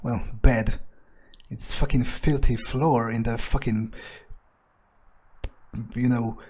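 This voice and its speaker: A man's voice: medium pitch, steady tone, slow pace, a little mellow. A man playing a game in character as a vampire, thinking aloud in a hesitant, self-interested, sweary way. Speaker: video game player narrating in character as a vampire.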